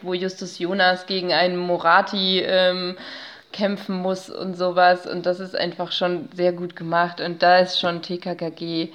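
A woman speaking as an expert guest in a casual interview, explaining things with halting, searching phrasing. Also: fairly low pitch, a bit bright.

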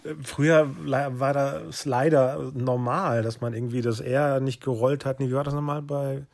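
A man's voice: mid-range voice, steady tone, moderate pace, creaky, darkish tone. A man chatting casually as the host of a podcast conversation. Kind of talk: casual chat.

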